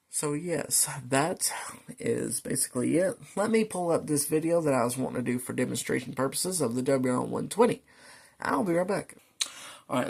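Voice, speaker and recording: A man hosts a casual hobby video with a creaky edge to his voice. He rambles along conversationally at a middling pitch, as if chatting to regular viewers. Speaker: host of a weather radio channel. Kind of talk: casual product talk to camera.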